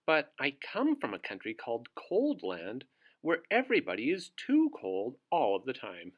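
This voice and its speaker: A man's voice: mid-range voice, huge pitch swings, moderate pace. A man voicing a naive, puzzled male character from a children's storybook with an innocent manner. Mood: innocent protest.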